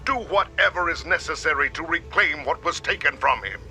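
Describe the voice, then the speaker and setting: An actor playing a stern alien warrior leader in a video game, giving orders with formal authority. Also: male voice, a little high, animated, moderate pace, pushed and bright, full character voice. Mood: stern, commanding. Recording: faint music behind the voice.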